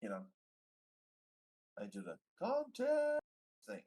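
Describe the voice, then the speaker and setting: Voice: medium pitch, wildly swooping pitch, takes it slowly, dark tone. A player talking casually and bluntly during a tabletop roleplaying game, with some joking.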